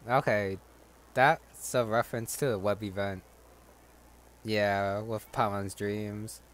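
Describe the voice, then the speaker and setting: A man's voice: lowish voice, steady tone, slow pace. A man giving casual commentary as he plays a video game. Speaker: gamer commenting on a playthrough.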